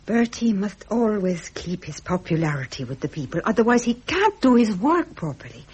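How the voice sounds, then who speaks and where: A woman playing a gracious, devoted princess in a radio drama, speaking in an earnest, refined, measured way. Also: mid-range voice, sing-song, darkish tone, husky. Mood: earnest resolve. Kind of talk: 1970s British radio drama acting.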